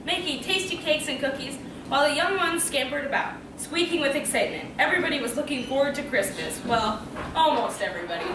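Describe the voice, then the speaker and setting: Woman reading a kids story out loud, high pitched, echoey room. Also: animated, fast. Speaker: narrator. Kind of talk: scripted storybook narration. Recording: echoey room, distant mic, noisy.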